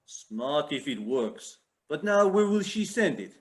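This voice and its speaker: An actor playing a menacing, impatient Russian gangster in a play reading, with a Russian accent and curt broken English. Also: male voice, a little high, big pitch swings, slow pace. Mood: skeptical. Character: menacing, impatient Russian mob boss's enforcer, a man.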